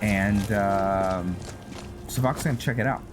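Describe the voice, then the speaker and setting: A man commentates casually on a roleplay game over loud music. His voice sits a little low and he talks very slowly, in a halting, improvised way, letting thoughts trail as he recaps the story. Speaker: gameplay host narrating a roleplay playthrough.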